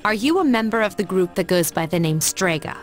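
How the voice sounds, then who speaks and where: A woman's voice: mid-range voice, expressive, brisk, music in the background, natural voice. A woman playing a stern, authoritative young female leader in a video game, formal and commanding.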